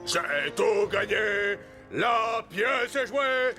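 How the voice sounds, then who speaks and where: Hot-tempered cartoon bad guy, theatrical and high for a man, music behind him. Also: animated, full character voice. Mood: gloating. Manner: theatrical, drawn-out, ranting. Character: gloating, hot-tempered male villain who hates Christmas cheer. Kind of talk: French cartoon dub.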